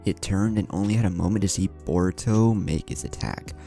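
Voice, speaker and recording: A man's voice: sits low, a little fast, dark tone. A man narrating a scripted adventure story in a dramatic storytelling style. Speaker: narrator of a fan fiction story. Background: music.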